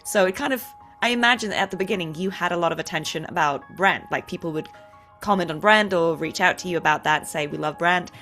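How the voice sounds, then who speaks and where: Lower-pitched woman hosting a conversational interview. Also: fast talker, a bit bright, soft background music. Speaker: interview host and fellow voice actor.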